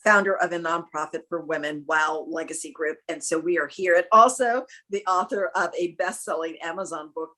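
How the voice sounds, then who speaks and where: Lively chatty woman co-host. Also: low-pitched, a little fast, few pauses. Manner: lively, laughs readily, chatty. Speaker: co-host, broadcast journalist. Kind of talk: casual video call chat.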